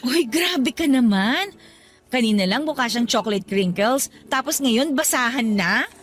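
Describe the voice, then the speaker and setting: An actress playing a modest, fair-minded young office worker in a radio drama, conversational and mildly reproving. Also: female voice, a little high, expressive, a little slow. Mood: surprised, reproving. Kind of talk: Tagalog radio drama dialogue.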